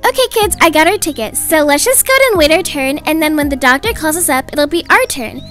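Very high-voiced, animated young woman storyteller. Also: fast talker, faint music behind. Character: brisk, slightly exasperated mother and nurse. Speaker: storyteller voicing a roleplay video. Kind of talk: animated scripted roleplay narration in everyday voice.

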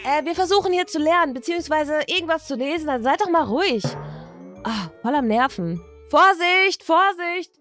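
A woman's voice: high voice, lively intonation, normal speed, fairly bright tone, faint music behind. A woman voicing chatty, dramatic teenage schoolgirls in improvised doll-play storytelling, with exaggerated teen slang. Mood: annoyed.

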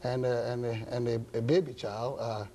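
A middle-aged man with a Ghanaian accent, speaking as an interview guest in measured, hesitant phrases. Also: mid-range voice, fairly even intonation, dark tone, slight background noise. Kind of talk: studio interview, measured explanation. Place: indoor television studio set. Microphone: lapel mic.

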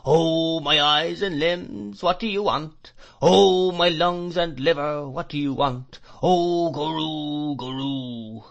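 A fierce, crazed old shopkeeper in a storybook, his voice pushed high into a whine. Long, drawn-out exclamations swell and fall in a sing-song, with a rattle in the throat. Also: gravelly, a bit bright, dull audio, full character voice. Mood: fierce whining.

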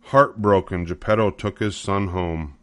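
A man's voice: sits low, steady tone, measured pace, fairly bright tone, no room sound. An older man narrating a children's storybook from a script.

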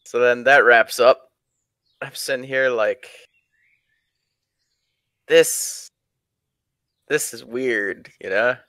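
A man's voice: mid-range voice, drawn-out words, pauses often, pushed and bright, dry recording, clean studio sound. A man casually telling stories to friends and a live chat as a streamer, crude and swearing heavily.

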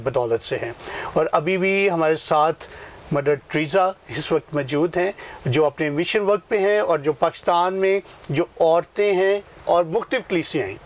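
Fast-talking middle-aged guy hosting a studio interview, pretty high-pitched, formal and polite. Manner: polite, formal phrasing, short affirmations. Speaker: talk show host. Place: TV news studio set.

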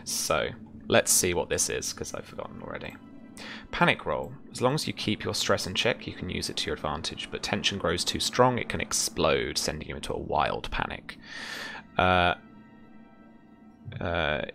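Game master, male, 30s, low voice with a forward edge, halting descriptive horror narration. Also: big pitch swings, vocal fry, sharp tone, faint music behind. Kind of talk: improvised tabletop horror narration.

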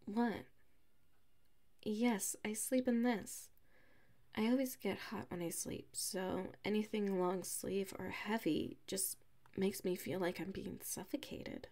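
A woman playing a flustered, defensive female classmate with a secret crush in an ASMR audio roleplay, huffy and halting. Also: mid-range voice, dark tone. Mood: teasing, defensive. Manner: halting, huffy, defensive, slips into muttered whispers.

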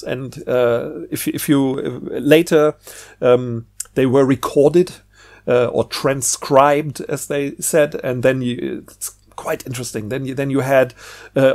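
A man giving a casual, rambling book review in a halting way, often repeating words. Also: mid-range voice, darkish tone.

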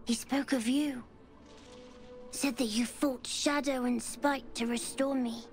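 A woman's voice: sits high, steady tone, slow pace, faint music behind. A woman voicing a solemn, wistful young boy who is a nature spirit in a fantasy game, earnest and grave. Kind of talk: scripted fantasy game dialogue.

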